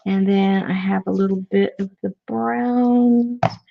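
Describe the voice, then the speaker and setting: A woman's voice: mid-range voice, fairly even intonation, measured pace, creaky, highs cut off, over music. A woman hosting an art tutorial, chatting casually in a rambling way and muttering to herself as she works. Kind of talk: casual chat while painting.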